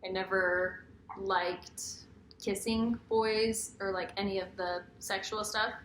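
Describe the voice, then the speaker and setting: A woman's voice: medium pitch, fairly even intonation, slow pace, fairly bright tone. Young American woman in an echoey room. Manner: informal, candid. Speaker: vlogger, co-host of couple's Q&A. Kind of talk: casual chat, personal storytelling. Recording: echoey room, faint background noise.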